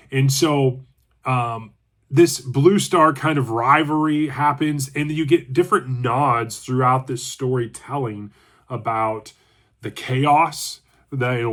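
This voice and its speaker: A congested man hosting his own channel, giving a chatty, relaxed book review and thinking aloud as he goes. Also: mid-range voice. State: congested from allergies. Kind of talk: casual book review talk.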